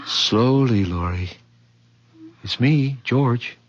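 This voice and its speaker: An actor playing an earnest, plain-spoken, protective young fiancé in a vintage radio mystery drama. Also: male voice, medium pitch, drawn-out words. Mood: concerned. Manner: solicitous, earnest. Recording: dull, lo-fi old radio recording.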